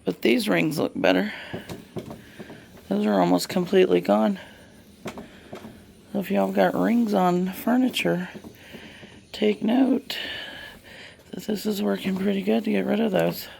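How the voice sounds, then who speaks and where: Casting: woman, Southern US accent, heavily creaky voice, folksy unhurried DIY vlogger, slight background noise. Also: mid-range voice, fairly even intonation, a little mellow. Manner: folksy, unhurried, murmuring along while working. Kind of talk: casual hands-on demonstration vlog. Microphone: handheld camera.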